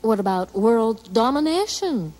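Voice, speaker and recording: Bright, pushy cartoon alien reporter. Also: female voice, on the high side, very animated, in a large hall. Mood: probing. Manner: brisk, probing. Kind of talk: cartoon character acting.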